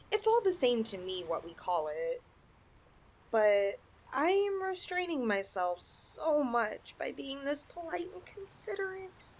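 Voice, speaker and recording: A woman's voice: on the high side, heavily acted. A woman voicing a possessive, flirtatious lamia in a roleplay ASMR style, coaxing her chosen mate with sweet condescension. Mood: seductive.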